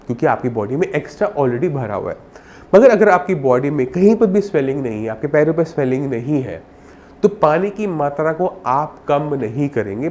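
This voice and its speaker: A man with a sore throat and cold, explaining health advice to viewers as a doctor in a direct, instructive way. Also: a little high. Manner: instructive, addresses viewer directly with simple examples.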